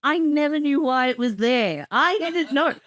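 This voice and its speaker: A woman's voice: sits high, animated, unhurried, fairly bright tone, dry recording. A woman giving casual episode commentary as a creator, blunt and sweary. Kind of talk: casual director's commentary chat.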